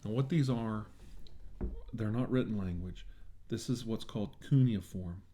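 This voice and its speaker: A man with a lowish, very mellow voice performs an ASMR medical roleplay. He plays the examiner, speaking to the patient in a reassuring and attentive way. His tone is dark and relaxed. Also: a little slow, slight background noise. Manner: reassuring, attentive bedside talk.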